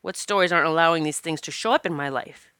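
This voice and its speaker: Congested woman podcast host, bright voice. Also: low-pitched, quick pace, clear, resonant tone, no echo, studio quality. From Northeast Pennsylvania. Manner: chatty, encouraging, with fillers. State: congested from allergies. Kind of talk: casual podcast talk.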